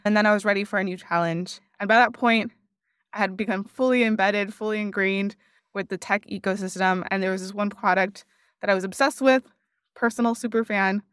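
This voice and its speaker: A woman from Miami speaks as a guest on an interview podcast, reflective and earnest, with a smooth, clear voice at a middle pitch. Her thirties-age speech is casual, with frequent "like". The sound is dry and close. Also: steady tone, fairly quick, a bit bright, no room sound. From Miami, United States. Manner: reflective, earnest, uses "like" often. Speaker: podcast guest, customer success leader. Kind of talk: conversational interview.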